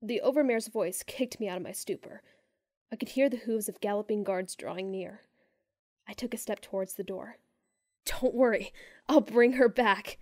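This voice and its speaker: A woman narrating a first-person story in a measured way, sinking to hushed tones in tense moments. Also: mid-range voice, no echo, clean studio sound. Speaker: audiobook narrator.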